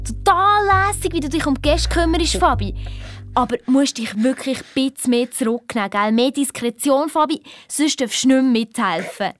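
Woman imitating a casual, high-voiced, gently scolding dad. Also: animated, normal speed, dry recording, soft background music. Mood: gently admonishing. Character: girl's father, casual, gently admonishing hotel owner.

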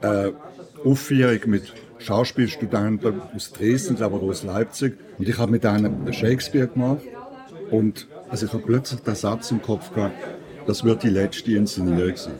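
An older man with a low voice and a Swiss German accent. He tells personal stories in a casual conversational way, with clear chatter behind him. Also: steady tone, moderate pace. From Switzerland. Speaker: radio maker, interviewer.

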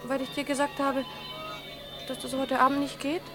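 An actress playing a lively, wheedling young sweetheart in a 1960s German radio play, with a girlish, chattering manner. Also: high-pitched, moderate pace, close to an everyday voice. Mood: gently coaxing. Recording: 1960s radio recording, music behind.

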